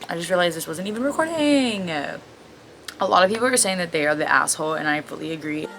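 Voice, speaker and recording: A woman's voice: on the low side, lively intonation, fast talker, over music. A woman hosting a casual video, reading online stories aloud and cutting in with blunt, sarcastic, sweary commentary. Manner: blunt, profane, sarcastic interjections. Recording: clearly audible background noise.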